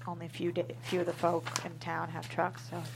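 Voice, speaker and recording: A woman's voice: on the low side, monotone, fairly quick, creaky, background noise. A woman playing a tough, guarded small-town cowgirl at a script table read, blunt and folksy.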